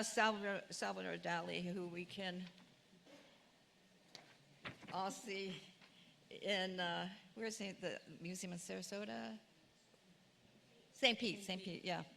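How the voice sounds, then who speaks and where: A woman's voice: lowish voice, creaky, bright, forceful tone. A woman instructor previewing her course to an audience, chatty and self-deprecating with many fillers. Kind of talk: class preview talk. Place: lecture hall, in front of audience. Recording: long hall reverb, faint room noise.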